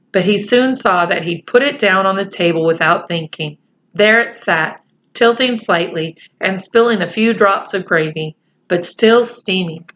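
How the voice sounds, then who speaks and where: Brief: woman storyteller, slow and expressive, bright tone, slightly muffled recording. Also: medium pitch. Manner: chatty asides, expressive reading. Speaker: storyteller, teacher. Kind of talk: reading a children's book aloud with comments.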